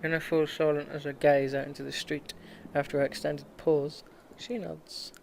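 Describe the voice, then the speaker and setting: A man's voice: on the high side, level tone, moderate pace, vocal fry, darkish tone, slight background noise. A male game streamer reading a visual novel aloud, stumbling over the text and dropping in casual, joking asides. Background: game music.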